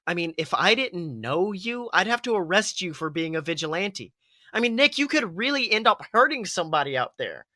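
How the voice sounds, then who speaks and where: A man voicing a young female rabbit police officer, sensible and caring, who teases and gently scolds in a story reading. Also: sits high, very animated, normal speed, dry recording, heavily acted. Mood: concerned scolding.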